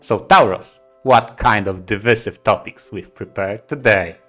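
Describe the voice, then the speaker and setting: A man's voice: lowish voice, measured pace, a bit bright, soft background music. A man co-hosting a podcast in casual banter, giving opinions in an emphatic, playfully stubborn way. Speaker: podcast co-host.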